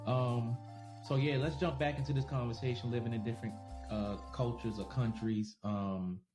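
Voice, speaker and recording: A man from New York co-hosting a podcast in casual chat, with a faint New York accent worn down by travel, laid-back and quick to laugh. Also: mid-range voice, fairly even intonation, music in the background. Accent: faint New York, softened by travel. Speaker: podcast co-host.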